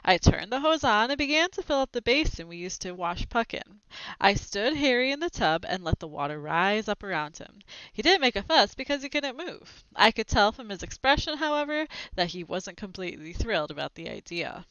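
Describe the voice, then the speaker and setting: A woman reading a children's book aloud expressively, in an animated manner, with brief asides as she shows the pictures. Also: mid-range voice, a little fast, clear, resonant tone, no echo, muffled recording. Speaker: read-aloud storyteller.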